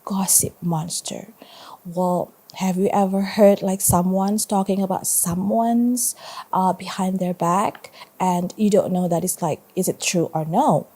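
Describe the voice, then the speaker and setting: A woman teacher reading a story aloud to children in an expressive, informal way, with conversational asides. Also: fairly low pitch, measured pace.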